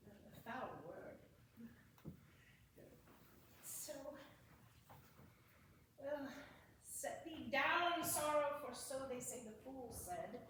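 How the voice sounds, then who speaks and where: Distant and very echoey, woman acting as self-mocking lovesick young lord, very slow, highly animated, exclamatory, Shakespearean stage comedy. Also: heavily acted. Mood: musing. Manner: theatrical, exclamatory. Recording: echoey room from far off, faint noise.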